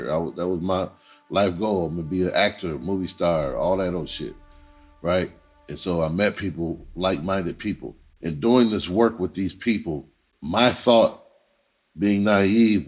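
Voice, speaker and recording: A man's voice: low voice, husky. A middle-aged American man telling personal stories casually, candid and streetwise, repeating words for emphasis. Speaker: entertainer telling autobiographical stories. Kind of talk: casual spoken storytelling.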